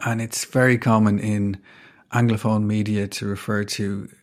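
A man hosting a podcast with scripted narration, measured and formally worded. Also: on the low side, little pitch movement. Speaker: podcast host.

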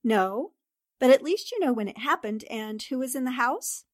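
A woman's voice: high voice, expressive, moderate pace, no echo, studio quality. A woman playing a composed, inquisitive newspaper columnist in a 1940s style radio drama, earnest and direct.

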